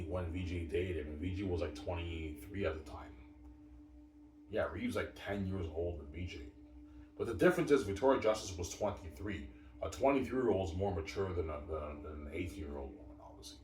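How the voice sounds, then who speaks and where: A man in his thirties talks on and on in a very low voice, circling back to the same points and leaning on stock phrases. He sounds set back from the mic, with the echo of the room around him. A faint hiss runs underneath. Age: 30s. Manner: repetitive, fills with "obviously" and "you know what I'm saying". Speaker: vlogger. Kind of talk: rambling personal monologue. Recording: roomy and distant with faint background hiss.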